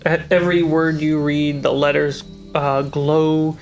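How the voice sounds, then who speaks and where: A game master narrating a tabletop fantasy game with deliberate dramatic pauses. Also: mid-range voice, little pitch movement. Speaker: game master and show host. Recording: background music and rain sounds under voice.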